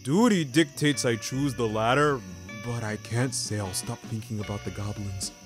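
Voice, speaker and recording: An actor playing a wry, flippant man in an audio drama, bantering with deadpan sarcasm. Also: medium pitch, normal speed, close to an everyday voice. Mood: dry. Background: music.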